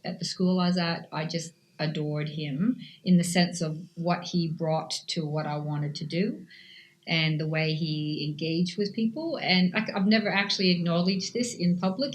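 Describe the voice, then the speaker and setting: Woman coach in her fifties, from Canada, accent part Canadian part Australian, lowish voice, earnest and enthusiastic. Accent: mix of Canadian and Australian. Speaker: cycling coach. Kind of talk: interview answers.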